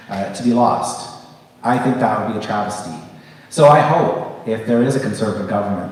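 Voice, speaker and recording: A man running for office, answering softly and hesitantly at a candidates' forum. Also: fairly low pitch. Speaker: election candidate. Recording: echoey hall, mic from far off.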